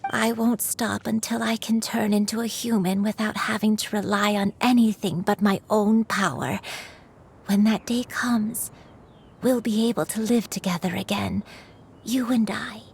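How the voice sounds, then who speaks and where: An actress voicing a gentle elderly grandmother who is a crane spirit in human form, in a video game, speaking in a tender, soothing way. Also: medium pitch, steady tone, a little slow, gravelly, a little hiss or hum.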